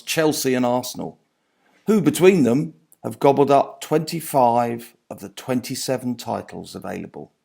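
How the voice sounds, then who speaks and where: Very gravelly-voiced man reading aloud. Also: medium pitch, a little mellow. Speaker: author and sports journalist reading his own book. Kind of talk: scripted book reading.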